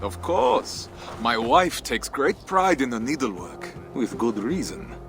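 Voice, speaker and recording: An actor playing a proud, earnest Viking trader and husband in a video game cutscene. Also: male voice, mid-range voice, sing-song, takes it slowly, creaky, a bit bright, full character voice. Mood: easy, wry. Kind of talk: dramatic game cutscene acting. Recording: music behind the voice.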